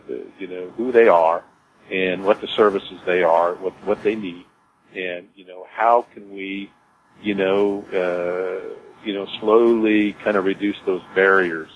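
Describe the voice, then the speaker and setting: A man answering interview questions informally as a business executive, in a halting way, repeating words as he explains. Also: deep voice. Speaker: cemetery executive being interviewed. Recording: dull lo-fi audio with clear noise.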